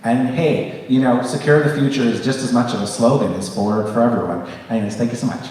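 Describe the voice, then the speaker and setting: A man running for office, answering softly and hesitantly at a candidates' forum. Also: medium pitch, quick pace, background noise. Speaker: election candidate. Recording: echoey hall, mic from far off.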